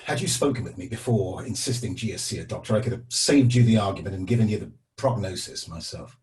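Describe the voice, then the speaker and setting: A man's voice: on the low side, animated, quick pace, a little mellow, lightly acted. Grim, resigned man played by an actor. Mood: grim, defensive. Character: grim, defensive man delivering bad news, resigned realist. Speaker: actor in a table read. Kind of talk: table read of a screenplay, dramatic dialogue.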